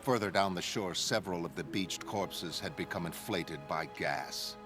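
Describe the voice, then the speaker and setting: A man playing an anguished, grave shipwrecked sailor who narrates a pirate horror tale in solemn, measured, literary phrases. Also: low voice, lightly acted. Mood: grim, mournful. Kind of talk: brooding first-person audiobook narration. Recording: music under the voice.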